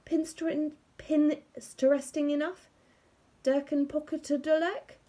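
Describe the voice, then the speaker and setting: A woman acts out a little girl of seven in a children's storybook. She is eager and smug, showing off in a raised, high voice. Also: level tone, measured pace, dark tone. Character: eager seven-year-old know-it-all little sister.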